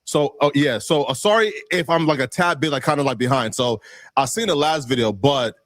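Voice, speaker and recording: A man answers an interviewer in a middle-pitched voice, recorded dry and close. He rambles defensively and fills his sentences with "like", "right?" and constant restarts. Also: a little fast, a bit bright, no room sound. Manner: rambling, heavy fillers, restarts. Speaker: interview guest.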